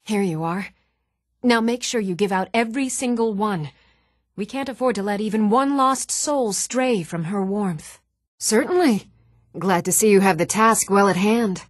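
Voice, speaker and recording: A woman's voice: mid-range voice, measured pace, dry recording, close to an everyday voice. Woman as a fervent priestess, dry sound. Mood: delighted, pious. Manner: earnest, pious. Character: devout, fervent priestess preaching a goddess's warmth. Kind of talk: fantasy video game character dialogue.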